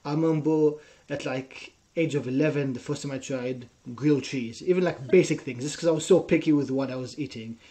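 A man's voice: medium pitch, brisk, few pauses, dark tone, a little hiss or hum. A young man in his twenties from Israel with an Israeli accent, a personal trainer telling his story in a casual interview, earnest and often tagging his sentences with "right?". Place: small room at home. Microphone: headset.

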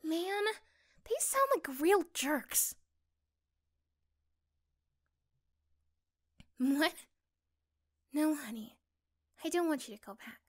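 A woman playing a caring, curious young girlfriend in a soft-spoken, tender ASMR roleplay, breathy at times. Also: high-pitched, lively intonation, slow pace, voice pushed up. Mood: tender, reassuring.

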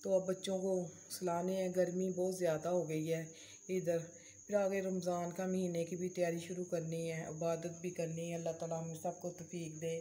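Low-pitched Pakistani woman, mellow and roomy. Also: little pitch movement, moderate pace. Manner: homely, repetitive, pious phrasing. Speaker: vlogger. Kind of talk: casual home vlog chat. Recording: roomy echo, faint background hiss.

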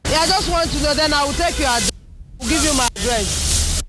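A woman's voice: sits high, expressive, brisk, music in the background. A young Nigerian woman posing as a film recruiter, giving bossy, insistent instructions on a busy roadside, caught on a hidden camera. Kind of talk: hidden-camera prank, street talk. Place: busy city roadside outdoors. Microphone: hidden camera from a distance. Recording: hidden camera, loud street traffic. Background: loud traffic and street bustle.

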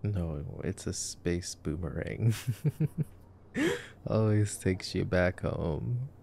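A man playing a nerdy, affectionate boyfriend in an ASMR sleep-aid roleplay, soft-spoken, teasing and rambling as he comments on a movie. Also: low voice, animated, very slow, vocal fry, a little hiss or hum, heavily acted. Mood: wry, amused.

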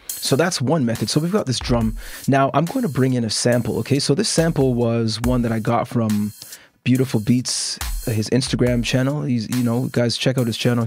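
A man's voice: medium pitch, darkish tone. A middle-aged man hosting a casual music production tutorial, explaining step by step in an informal way and often checking in as he demonstrates. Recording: music and drum samples playing behind. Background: instrumental music and beat loops.

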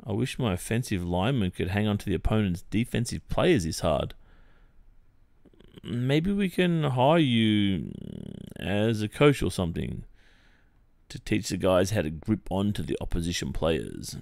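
An Australian man playing an awkward, polite young college football captain in a boyfriend roleplay, speaking in a hesitant, halting way. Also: fairly low pitch, lively intonation, gravelly, no echo, studio quality. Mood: amused, bemused. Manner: hesitant, halting, with many pauses and soft awkward filler. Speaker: ASMR roleplay performer. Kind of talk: ASMR boyfriend roleplay, one-sided improvised-sounding dialogue.

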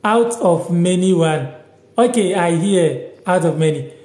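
Slow, high-voiced young man teaching. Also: expressive, darkish tone, reverberant. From Ghana. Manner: slow, repeats each word and sentence several times. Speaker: language teacher on a livestream. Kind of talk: casual live lesson, reading out viewer comments. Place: small room indoors, sitting on a sofa.

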